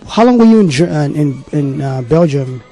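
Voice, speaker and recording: A man's voice: on the high side, expressive, normal speed, warm tone, soft background music. A man asking sports interview questions in a friendly, conversational way into a handheld mic. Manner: friendly, conversational, hesitant fillers.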